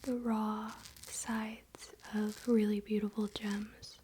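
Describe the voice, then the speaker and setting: Woman, unvoiced breathy whisper, mellow, informal ASMR chat, close-miked, faint background noise. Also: medium pitch, level tone. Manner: unhurried, soft-spoken, informal. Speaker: ASMR creator and crystal seller.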